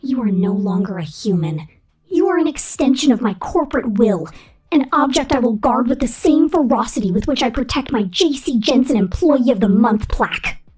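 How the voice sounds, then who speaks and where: A woman playing a menacing, possessive robot boss in an ASMR roleplay, commanding and pompous in a deliberate corporate manner. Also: fairly high pitch, lively intonation, a little mellow, husky, low-quality recording. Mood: coldly gloating.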